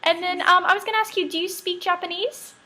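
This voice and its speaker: A woman's voice: extremely high pitch, steady tone, quick pace, over a noisy crowd. A woman interviewing casually, in a chatty, gushing and encouraging way.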